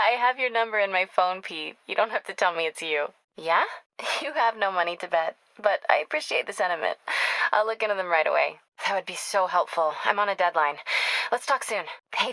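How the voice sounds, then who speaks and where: Young American investigative reporter, video game: mid-pitched, crisp bright edge, sharp and determined, wry natural phone delivery. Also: female voice, no room sound, low-quality recording. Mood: wry, teasing, then friendly, upbeat. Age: young adult. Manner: wry, brisk, conversational.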